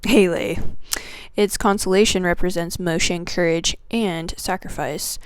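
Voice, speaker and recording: A woman teaching the zodiac signs in a spiritual video that opens with a prayer. She speaks reverently, draws her phrases out, drops into soft whispers and lists qualities one word at a time. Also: fairly low pitch, fairly even intonation, clean tone. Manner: slow, drawn-out delivery with soft whispered phrases. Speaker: astrology teacher.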